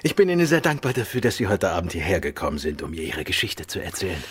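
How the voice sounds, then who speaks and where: A man acting a courteous, probing, self-assured gentleman detective in a German radio crime drama, questioning politely and with measured care. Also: low-pitched, very animated, slightly rough, exaggerated voice. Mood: sociable.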